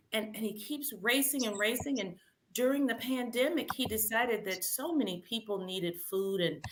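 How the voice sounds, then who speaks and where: A woman's voice: a little high, noisy background. A woman hosting a children's read-aloud camp, presenting in simple words and prompting listeners with questions.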